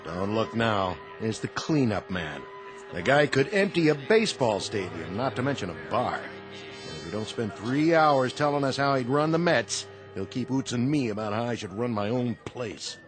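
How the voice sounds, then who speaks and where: An actor in an old-time radio drama playing a weary, sardonic saloon owner given to dry wisecracks. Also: male voice, mid-range voice, big pitch swings, normal speed, music in the background, natural voice. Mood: sarcastic.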